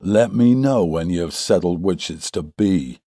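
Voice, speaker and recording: A man voicing a sturdy, defiant old farmer in an audiobook story, gruff and blunt. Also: low-pitched, expressive, unhurried, dark tone, dry recording, clean studio sound, full character voice. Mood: sardonic.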